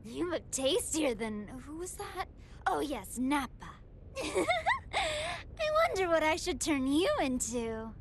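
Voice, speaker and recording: A woman's voice: high-pitched, very animated, slow, a little hiss or hum, full character voice. An actress playing a gluttonous, playfully menacing female android villain in an anime video game, with a taunting, mock-sweet delivery. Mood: hungry, menacing.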